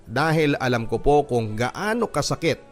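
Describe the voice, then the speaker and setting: A Filipino man narrates a heartfelt letter in the manner of a radio drama host. His mid-pitched voice is earnest and sentimental, with soft music running underneath. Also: sing-song, moderate pace. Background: background music.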